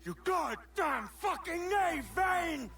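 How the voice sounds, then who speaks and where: An actor playing a gruff, exasperated pirate captain in a video game, cursing with bitter, defiant indignation. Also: male voice, very high-pitched, animated, slow pace, over loud music, full character voice. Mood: angry. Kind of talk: video game cutscene acting.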